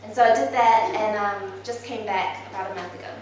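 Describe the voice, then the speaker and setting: A woman's voice: mid-range voice, steady tone, a little fast, far from the mic, slight background noise. Young woman speaking in an echoey church hall. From Malaysia, raised in New Zealand. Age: 20s. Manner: informal, with frequent ums and soft laughs. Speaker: church speaker presenting her mission work. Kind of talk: presentation talk to a church congregation. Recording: very echoey hall, recorded from afar.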